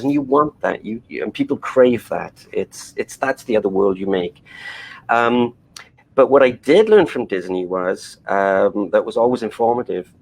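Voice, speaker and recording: Guest: middle-aged Welsh-born man, low gravelly voice, rambling, full of fillers and restarts. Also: poor audio. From Wales. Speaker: animation director, interview guest. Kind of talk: conversational interview.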